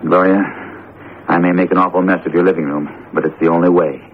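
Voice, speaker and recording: An actor in a radio drama playing an earnest, determined teacher with deliberate, theatrical phrasing. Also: male voice, deep voice, steady tone. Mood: grimly determined. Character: jumpy, determined male teacher confronting a cursed statue. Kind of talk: vintage radio drama acting. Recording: tinny, narrowband old radio broadcast, noisy.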